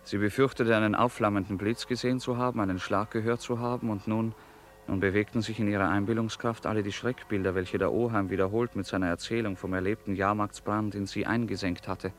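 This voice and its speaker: A man narrating a literary radio play with measured, deliberate phrasing. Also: lowish voice, fairly even intonation.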